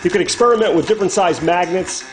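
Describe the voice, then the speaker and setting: A man's voice: high voice, fast, soft background music. A man hosting a do-it-yourself project show, narrating scripted how-to instructions step by step in an instructional manner. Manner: clear step-by-step instructional delivery. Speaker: host of a DIY project show.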